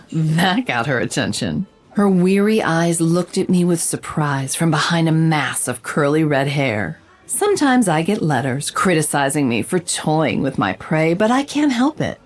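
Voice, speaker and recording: An actress playing a confident, sly investigative reporter in a noir-style audio drama, with a wry, knowing and measured delivery. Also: female voice, deep voice, very animated, faint music behind, exaggerated voice. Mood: sly, amused. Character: confident, sly female investigative reporter narrating her case.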